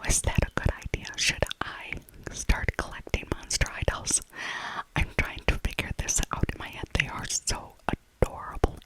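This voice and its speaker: A woman speaking in a breathy, unvoiced whisper, chatting directly to the listener in an ASMR shopping voiceover. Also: rough recording. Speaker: ASMR creator.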